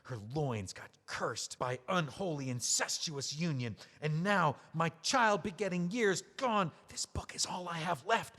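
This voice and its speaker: An actor playing a bitter, drunk widower who rants sarcastically in an audio play. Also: male voice, on the high side, animated, unhurried, hardly any pauses, fairly bright tone. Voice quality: sarcastic, ranting. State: drunk on whiskey. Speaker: widower memoir author. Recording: long hall reverb.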